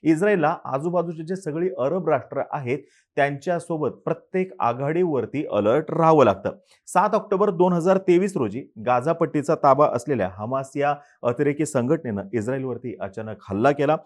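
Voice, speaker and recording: A young man hosting a scripted news explainer, talking steadily with the odd filler pause. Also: on the high side, lively intonation.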